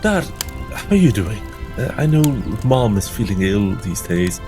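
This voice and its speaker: Man acting as dutiful young son, caring, low-pitched, fantasy tabletop game, background music. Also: very animated, a little slow. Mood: caring, gently teasing.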